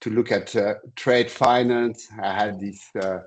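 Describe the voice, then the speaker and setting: Older male trader, low-pitched and measured. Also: fairly even intonation, a little hiss or hum. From born in Senegal. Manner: measured, halting storytelling. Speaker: interview guest, commodity trader. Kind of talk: conversational career account.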